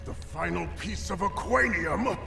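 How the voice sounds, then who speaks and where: A man's voice: high-pitched, a little slow, creaky. An actor playing an earnest young male fighter in a video game cutscene, dramatic and urgent in manner. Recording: echoing reverb, music behind.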